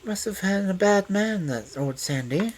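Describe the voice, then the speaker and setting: A composed young woman sleuth in a storybook, speaking in a raised voice that stays calm and steady while she probes for the truth, as a male narrator puts her on. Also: fairly high pitch, fairly quick, slight background noise, voice pushed up. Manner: calm, probing questions.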